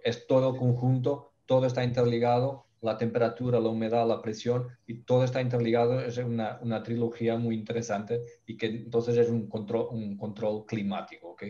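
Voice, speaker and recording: A man's voice: on the low side, dark tone, dull audio. A man from Portugal with a Portuguese accent, speaking Spanish as a second language, giving a technical webinar presentation and often searching for words. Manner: halting, searches for words, many restarts. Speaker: ventilation specialist.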